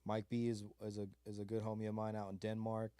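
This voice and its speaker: Laid-back low-voiced man, rambling. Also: completely flat delivery, quick pace, dark tone, smooth, dry recording. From Mojave desert, California. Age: adult with a child. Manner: laid-back, rambling, full of fillers and slang. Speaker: guest beat maker. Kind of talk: casual interview chat.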